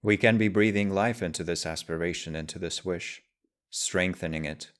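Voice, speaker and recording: A man guiding a meditation as a teacher, speaking in measured, deliberate phrases with pauses. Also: deep voice, a bit bright. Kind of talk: guided meditation, slow spoken instruction.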